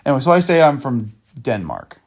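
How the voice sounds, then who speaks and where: A man's voice: mid-range voice, big pitch swings, fairly quick. A man hosting a video and talking casually through screenshots of a chat, with dry, sarcastic asides and a loose, meandering delivery. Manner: loose, meandering with fillers and restarts. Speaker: channel host exposing a scammer.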